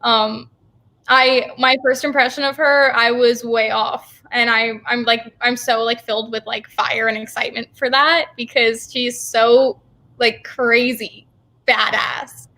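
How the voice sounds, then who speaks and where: A young woman guest in a casual interview, with a clearly bright, slightly high voice. She talks informally and with enthusiasm, dropping "like" often. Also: little pitch movement, unhurried. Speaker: theater company co-founder, interview guest.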